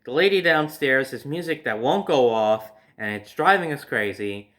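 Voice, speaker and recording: A man's voice: medium pitch, expressive, normal speed, a bit bright. A young man casually telling personal stories, rambling, swearing freely and starting over with "so". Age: young adult. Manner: rambling, profane, starts lines with "so". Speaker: storytime vlogger. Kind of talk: casual storytelling.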